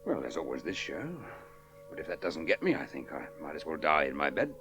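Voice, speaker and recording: A man's voice: a bass voice, expressive, a little fast, over music. An actor playing a wry, laconic male aviator with a drinking habit in a radio play. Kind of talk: 1970s radio drama acting.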